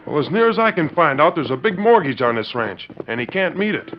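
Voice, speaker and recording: A man's voice: fairly high pitch, very animated, fairly quick. A man acting as a spiteful, scheming young rancher's son in a 1940s radio western, with folksy cowboy phrasing. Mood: slyly confiding. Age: young adult. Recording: muffled, noisy old 1940s radio recording.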